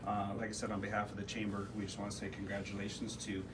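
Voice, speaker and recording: A man's voice: fairly low pitch, monotone, fast. Man, Midwestern accent, chamber emcee, filler words, distant, background noise. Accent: Midwestern American. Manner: joking then sincere, filler-heavy. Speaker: chamber of commerce representative. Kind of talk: casual ceremony emcee remarks. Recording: distant mic, noisy event room.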